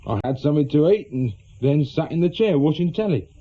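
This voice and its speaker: An actor playing a blunt, agitated widower under questioning in a radio drama, with a husky voice. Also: male voice, a little high, animated, fairly quick. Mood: defensive. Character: distressed, defensive widower, small-time shopkeeper. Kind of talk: naturalistic radio drama acting. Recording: dull lo-fi radio recording.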